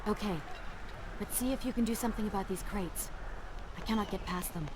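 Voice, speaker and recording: An actress playing a brisk, polite, resourceful young woman companion in a video game, direct and composed. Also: medium pitch, slight background noise, close to an everyday voice, low-quality recording. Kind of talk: dramatic video game acting.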